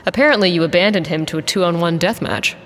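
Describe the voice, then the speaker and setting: A woman's voice: lowish voice, fast talker, a bit bright, long reverb tail. A woman playing a guarded, blunt, loyal mercenary pilot in an audio drama, with a dry manner. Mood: wry, questioning.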